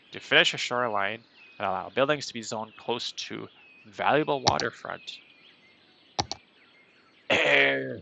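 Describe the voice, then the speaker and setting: Male streamer, stuffy and nasal from a cold, gravelly tone, chatty rambling delivery, noticeable background noise. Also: mid-range voice, a bit bright. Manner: rambling, chatty, self-deprecating. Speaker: gaming streamer. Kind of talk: casual gameplay commentary.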